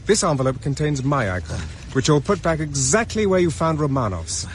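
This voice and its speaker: A British man's voice, fairly high and very animated, as a wary, resourceful captain in a radio thriller. He sounds like a fugitive used to giving orders. There is light ambient noise behind him. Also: fairly quick. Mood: brisk, commanding. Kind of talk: radio drama acting.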